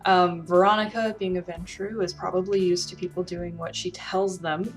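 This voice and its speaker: A woman with a slightly low voice speaks as a guest. Her delivery is casual and reflective, like someone recounting things in conversation. Faint music sits under her voice. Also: steady tone, normal speed, rough recording. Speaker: guest game developer and roleplayer. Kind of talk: casual spoken account of a game.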